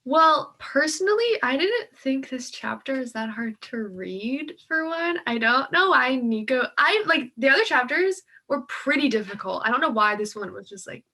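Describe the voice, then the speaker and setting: A woman's voice: sits high, animated, normal speed, hardly any pauses, bright, forceful tone. A young woman co-hosting a casual book chat, speaking informally and peppering her sentences with "like". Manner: informal, heavy use of "like". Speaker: co-host of a book read-along series.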